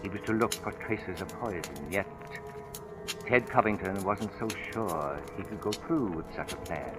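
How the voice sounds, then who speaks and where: A man plays a pompous, silky crime writer in an old radio drama. His voice sits low as he spins the tale with florid, theatrical care. Music runs clearly behind him on the vintage recording. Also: normal speed, close to an everyday voice. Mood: grave suspense. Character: suave, theatrical male crime writer and storyteller. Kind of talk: 1940s radio drama narration.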